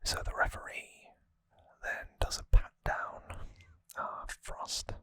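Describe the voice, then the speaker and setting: An ASMR host speaks entirely in a crisp, bright whisper. The delivery is slow, gentle and a little hesitant, like an informal recap of trivia. The recording is close to the microphone. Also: no echo. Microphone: close microphone, dry sound.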